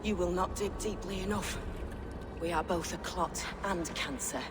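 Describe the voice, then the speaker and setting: A man as ominous high-voiced conspirator, echoing. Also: moderate pace, natural voice. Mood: defiant, grim. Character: exposed, defiant male conspirator making final speech. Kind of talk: dramatic poetic video game dialogue. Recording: long reverb, clear background noise.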